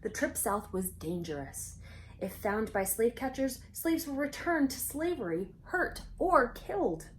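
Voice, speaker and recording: A woman reading a children's storybook aloud expressively, pausing for conversational asides and questions to young listeners. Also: on the high side, sing-song, a little hiss or hum, rough recording.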